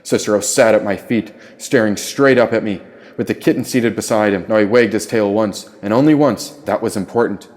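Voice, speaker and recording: A male narrator with a lowish voice reads a first-person tale. His delivery is dramatic, that of an author performing his own audiobook. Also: fairly quick, a little mellow.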